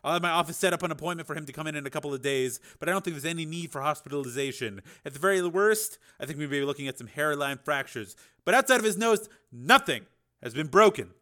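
A man voicing a calm male doctor who gives reassuring, matter-of-fact medical reports in an audiobook drama. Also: a little high, quick pace, voice pushed up. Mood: reassuring, businesslike.

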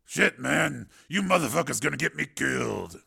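Crusty old male cabbie, done by a narrator: gravelly, rough-edged, gruff, slangy and grumbling. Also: mid-range voice, animated, moderate pace, sharp tone, heavily acted.

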